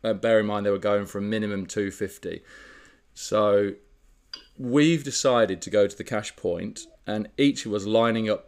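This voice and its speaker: A man co-hosting a casual podcast, telling a story in a relaxed, chatty way with frequent fillers. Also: fairly low pitch. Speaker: podcast co-host. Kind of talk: casual chat.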